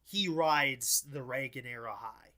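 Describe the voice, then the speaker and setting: Man, podcast host, casual political discussion, blunt, halting, frequent false starts, mid-range voice. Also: medium pitch, pushed and bright.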